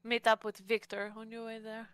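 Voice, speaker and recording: A woman's voice: a little high, flat delivery, moderate pace, fairly bright tone, clean tone, no echo. A woman playing a tabletop roleplaying game, talking casually through plans with the group. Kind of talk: casual in-game planning chat.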